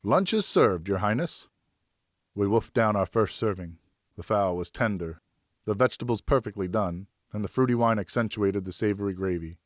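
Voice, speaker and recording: Low-voiced man narrating an audiobook. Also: fairly quick, no room sound, clean studio sound. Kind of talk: scripted first-person novel narration.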